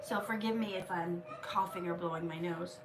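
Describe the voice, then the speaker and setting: A woman's voice: lowish voice, fairly even intonation, moderate pace, distant mic, noisy background. A woman hosting a casual live stream, chatting with viewers and reading their comments aloud while she has a cold and keeps coughing.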